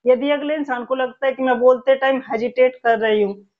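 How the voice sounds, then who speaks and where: A woman in her thirties gives a spoken English lesson. She explains in Hindi with English words mixed in, then says each English example slowly, one word at a time. Her voice sits fairly high, and the recording sounds dull and lo-fi. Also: fairly even intonation. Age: 30s. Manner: slow, spelled-out dictation of English example sentences. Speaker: English teacher.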